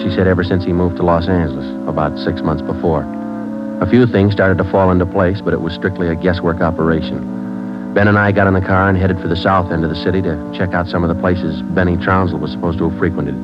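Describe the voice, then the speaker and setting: An actor playing a terse police detective who narrates the case in a clipped, deadpan way in a 1940s radio drama. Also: male voice, low-pitched, lightly acted. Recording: dull old radio broadcast.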